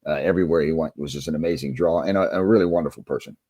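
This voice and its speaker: A man's voice: very deep voice, racing, mellow, no echo, clean studio sound. A middle-aged American man telling stories conversationally as an interview guest, in a reflective, anecdotal way. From United States. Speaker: interview guest, former basketball coach and author.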